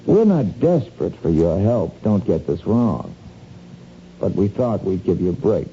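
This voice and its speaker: Sly blackmailing crook, low and gravelly, slangy and insinuating, radio drama on a dull recording. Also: male voice, big pitch swings, measured pace, dark tone, slight background noise, full character voice. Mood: coaxing, sly. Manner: hesitant, insinuating, folksy slangy talk with ain't. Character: sly, wheedling small-time crook pressing a blackmail scheme.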